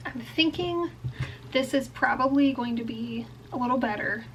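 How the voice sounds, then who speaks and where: Young woman w a cold, kinda high voice, rambly casual crafting chat. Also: moderate pace, noisy background. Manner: chatty, thinking aloud, frequent "like" and "um". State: has a bad cold. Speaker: crochet vlogger. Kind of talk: casual explaining while crafting.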